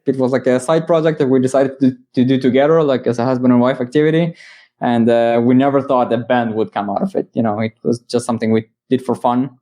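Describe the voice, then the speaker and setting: A man's voice: medium pitch, steady tone, fast, warm tone. Guy musician on a podcast, easygoing, lots of uhs. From Israel. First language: Hebrew. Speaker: musician guest. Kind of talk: podcast interview.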